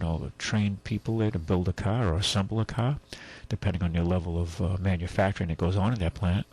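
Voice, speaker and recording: A man's voice: low voice, darkish tone, breathy edge, low-quality recording. A man hosting a talk radio show, chatting in a rambling, casual way with asides and restarts. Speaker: radio host.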